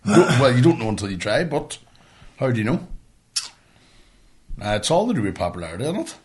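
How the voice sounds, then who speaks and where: A man with a Northern Irish accent co-hosting a podcast in casual, sweary banter, blunt and colloquial and quick to argue. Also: mid-range voice, big pitch swings, darkish tone. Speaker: podcast co-host.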